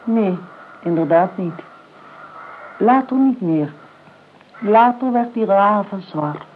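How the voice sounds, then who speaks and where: An actress playing a sardonic, calculating older aunt in a Dutch radio play, speaking in a measured, dry way and drawing out key words. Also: female voice, mid-range voice, lively intonation. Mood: sardonic reminiscing. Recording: tinny, band-limited old radio recording, clear noise.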